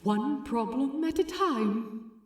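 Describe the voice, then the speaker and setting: A woman voicing a gentle, anxious male angel in a fan fiction audiobook, speaking earnestly and tenderly. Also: high voice, expressive, slow pace, reverberant, pitched above the performer's own voice. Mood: anxious, consoling.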